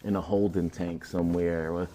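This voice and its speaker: Guy hosting a podcast, kinda low voice, asking questions real casual. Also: little pitch movement, normal speed, mellow. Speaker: podcast host. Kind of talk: casual conversational interviewing.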